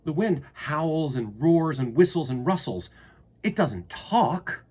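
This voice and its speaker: A man's voice: fairly high pitch, very animated, slow pace, a little mellow, breathy edge, voice pushed up, exaggerated voice. A man voicing a lonely, plain-spoken little mountain girl in a storybook, frank and emphatic. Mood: disbelieving.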